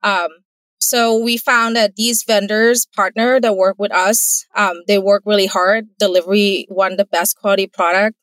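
A woman's voice: medium pitch, steady tone, moderate pace, a bit bright, dry recording, low-quality recording. Earnest Thai-accented woman entrepreneur. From Thailand. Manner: earnest, careful, laughs easily. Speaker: entrepreneur, guest. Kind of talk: casual interview answers.

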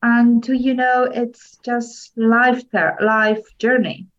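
Polish-accented woman, halting and earnest. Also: fairly high pitch, flat delivery, muffled recording. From Poland. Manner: halting, searching for words. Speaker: podcast guest. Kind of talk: interview conversation.

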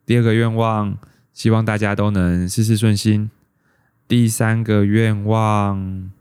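A man's voice: lowish voice, flat delivery, measured pace, a little mellow, clean tone, no room sound, close to an everyday voice. An actor with a Taiwanese Mandarin accent playing a timid, despondent bank employee in his thirties, narrating his life introspectively in a radio drama. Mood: hesitant. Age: 30s.

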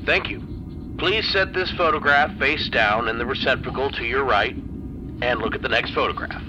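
A man's voice: medium pitch, normal speed, music in the background, natural voice. A man playing a detached, commanding research doctor, giving clipped procedural instructions in an audio drama. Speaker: experiment controller. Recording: muffled radio link with clear noise.

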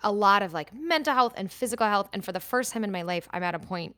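A woman's voice: medium pitch, expressive, fast, sharp tone, no echo. A woman in her thirties co-hosting a podcast, chatting candidly and reading a personal letter aloud. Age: 30s. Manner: candid, talks in starts and restarts. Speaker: podcast co-host.

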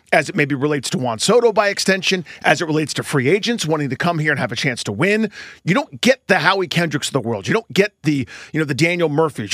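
A man's voice: on the high side, a bit bright, no echo, clean studio sound. A man co-hosting a sports podcast, giving emphatic unscripted analysis and repeating points for emphasis.

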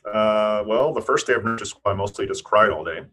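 A man's voice: on the low side, fast talker, pushed and bright, slight background noise. A man in his fifties from Eastern Tennessee, a scientist guest on an interview, answering thoughtfully with frequent restarts. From United States (Eastern Tennessee). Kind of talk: interview conversation.

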